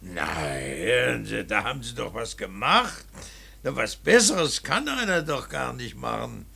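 An earnest, gruff old painter in a radio drama. He speaks slowly in a tense, gravelly voice, repeating himself with moralising emphasis. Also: mid-range voice, sing-song, sharp tone, heavily acted. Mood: emphatic. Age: older. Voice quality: gravelly, rasping. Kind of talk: German radio drama acting.

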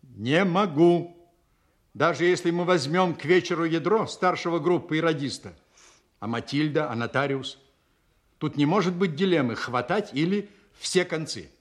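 A man acting an earnest, stubborn Soviet counterintelligence officer in a Russian audio drama, measured, formal and respectful to superiors. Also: fairly high pitch, close to an everyday voice. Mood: insistent, protesting.

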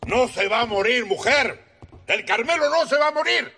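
An actor playing a proud father in a radio drama, expressive, placating and defensive. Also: extremely high pitch, normal speed, husky, heavily acted. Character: proud father, cockfight lover, soothing and defensive.

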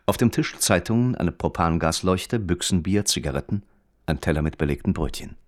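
A male radio play narrator with a very low voice. He is detached and observant, measured and precise, yet his intonation moves widely through the scripted prose. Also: lively intonation. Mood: detached, matter-of-fact.

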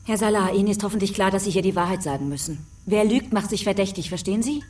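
An actress playing a stern, businesslike female police interrogator in a radio drama, probing and brisk. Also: mid-range voice, darkish tone, lightly acted. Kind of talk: naturalistic radio drama dialogue. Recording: slightly distant, faint music.

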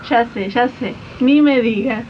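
A woman plays a patronising housewife who employs maids and feigns kindness. Her voice is high and brisk, in radio drama style, on a dull archive recording with faint rumble. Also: lightly acted. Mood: brisk, condescending. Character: condescending lady of the house, falsely kind employer. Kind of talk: 1980s amateur radio drama acting.

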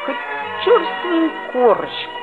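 An actress playing an earnest, mischievous schoolboy who narrates a radio play in a mock-serious, expressive way. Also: female voice, very high-pitched, takes it slowly, over music, heavily acted. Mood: wry storytelling. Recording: tinny vintage 1960s radio recording.

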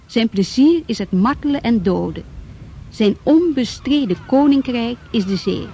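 A woman narrating a radio play in a slow, grim recitation. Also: fairly high pitch, lively intonation, mellow, a little hiss or hum. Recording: dull lo-fi recording.

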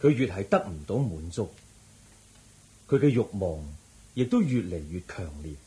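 A serene, enlightened teacher giving a solemn sermon in a Cantonese radio drama from Hong Kong. His voice is fairly low and warm. He speaks slowly and gravely, with expressive rise and fall. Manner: measured, grave, deliberate.

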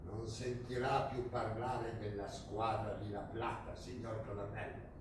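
An actor playing a deferential but coldly menacing army captain, giving deliberate, clipped replies in a theatrical style. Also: male voice, lowish voice, little pitch movement, noisy background, lightly acted. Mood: grave, insistent. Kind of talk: theatrical stage acting. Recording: echoey stage, somewhat distant mic.

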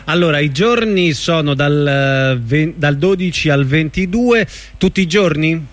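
A man's voice: fairly high pitch, expressive, measured pace. A man hosting a casual radio chat, easygoing, putting in short encouraging remarks to his guest. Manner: easygoing, brief encouraging interjections. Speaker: radio show host interviewing a guest.

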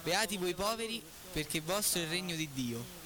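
A man's voice: high-pitched, lively intonation, normal speed. A young man with a Roman accent reading aloud during a street interview. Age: young adult. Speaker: member of the public reading aloud. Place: outdoors on a Rome street near schools. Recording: busy street with traffic and crowd.

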